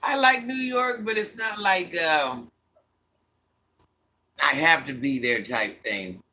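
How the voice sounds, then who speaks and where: Middle-aged woman w/ a deep smoky voice, sassy n blunt, reading chat live, bright edgy tone. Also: slow. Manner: blunt, sassy, talks back to commenters. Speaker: livestream host reading chat comments.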